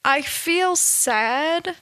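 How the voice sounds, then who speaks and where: A woman talking in a casual, reflective monologue, halting and restarting often, with a slightly nasal, stuffed-up voice. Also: sits high, lively intonation, no echo. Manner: halting, many restarts and soft laughs. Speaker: vlogger.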